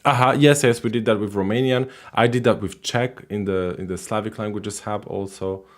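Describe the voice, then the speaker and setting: A man's voice: on the low side, fairly even intonation, moderate pace. A man hosting a casual live call-in stream who talks hesitantly, with many fillers and easy laughter.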